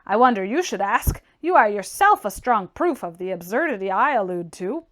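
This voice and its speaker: A woman's voice: mid-range voice, sing-song, normal speed, lightly acted. A woman voicing a blunt, opinionated, scornful young tradesman in an audiobook, with a brusque, mocking delivery and emphatic exclamations. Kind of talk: dramatized audiobook character reading.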